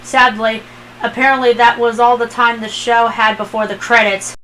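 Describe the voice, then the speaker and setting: A woman's voice: a little high, flat delivery, moderate pace, pushed and bright, a little hiss or hum, low-quality recording. A woman reading a story aloud as an amateur narrator, in a dramatic storytelling style. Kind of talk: dramatic fan fiction narration.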